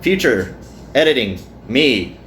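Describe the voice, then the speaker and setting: A man's voice: medium pitch, sing-song, slow, fairly bright tone. A man doing a casual visual novel playthrough, reading aloud and adding joking, self-deprecating commentary. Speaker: let's player. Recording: roomy echo, some background noise.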